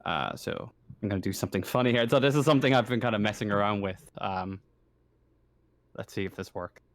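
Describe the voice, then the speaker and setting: A man narrating a tabletop roleplaying game as game master, improvising in a halting way and often rephrasing himself. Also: fairly low pitch, no echo.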